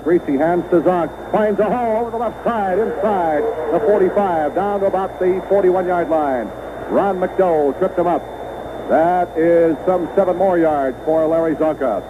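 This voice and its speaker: A man's voice: a little high, narrow, tinny audio, background noise. An American man calling live football play-by-play on an old radio broadcast. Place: stadium broadcast booth.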